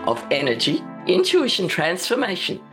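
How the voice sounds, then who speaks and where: A woman with a slightly croaky voice hosting a psychic radio show and leading a slow, soothing guided meditation. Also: lowish voice, huge pitch swings, soft background music. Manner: slow, soothing, gently spiritual.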